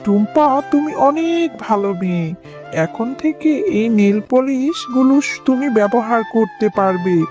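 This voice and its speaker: A woman's voice: fairly high pitch, expressive, a little slow. Benevolent magic tree voiced by a woman. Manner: approving, proclaiming. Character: benevolent talking magic nail-polish tree. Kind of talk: children's animated fable acting. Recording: music under the voice.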